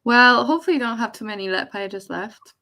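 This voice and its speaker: A woman's voice: fairly high pitch, expressive, brisk, pushed and bright. A woman from Poland chatting casually as co-host of a livestream, informally reading out viewer comments. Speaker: live stream co-host.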